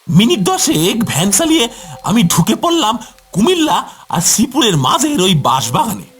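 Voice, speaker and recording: A man voicing a poor rustic van driver, a wronged ghost, in a dramatic drawn-out cartoon horror storytelling manner. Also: very high-pitched, fairly bright tone, full character voice.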